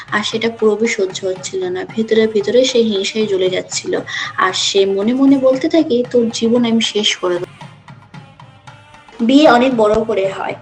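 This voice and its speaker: A woman's voice: fairly high pitch, low-quality recording. A woman storyteller reading scripted narration for a cartoon folk tale.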